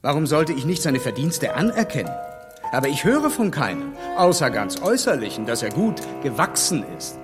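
An actor playing a stern, blunt gentleman who lectures scornfully, in a radio drama. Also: on the high side, sing-song, moderate pace, long reverb tail, over music. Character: stern upright gentleman, blunt moral scold.

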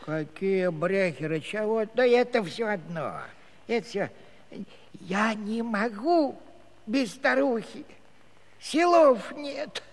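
A man's voice: high voice, lively intonation, slow, heavily acted. An actor playing a comic, wily old village grandfather in a Soviet musical-comedy audio play, thin and quavering, muttering with many oohs and hesitations. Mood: wheedling. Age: older. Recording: long hall reverb with clear background noise.

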